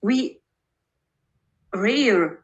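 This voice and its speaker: A woman practicing English on a video call, halting as she searches for words. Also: mid-range voice, animated, dull audio. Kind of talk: conversation practice.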